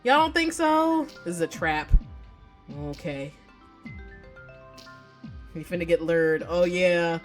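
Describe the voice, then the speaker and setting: A woman giving casual, slangy and profane live commentary as she plays a visual novel, exclaiming in an animated way. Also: fairly low pitch, takes it slowly, pauses often, faint music behind. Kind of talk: casual game livestream commentary.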